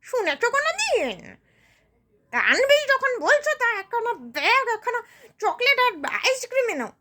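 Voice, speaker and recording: A woman's voice: extremely high pitch, animated, slow, a bit bright, full character voice. A woman voicing a poor, self-pitying old village woman, whining and grumbling rustically in a comic skit. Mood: scornful, grumbling. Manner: querulous, whining, rustic, repetitive.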